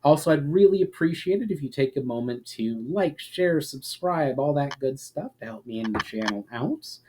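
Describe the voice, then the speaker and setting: A man narrating a fantasy story as an expressive, dramatic reader. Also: mid-range voice, moderate pace, background noise. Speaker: narrator and author.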